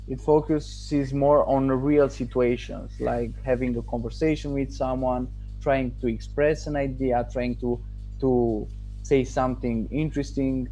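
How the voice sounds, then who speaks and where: Mellow-voiced man, halting and thoughtful. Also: mid-range voice, low-quality recording. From Romania. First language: Romanian. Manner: thoughtful, halting, with restarts and pauses. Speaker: student giving a testimonial. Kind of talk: casual interview answers.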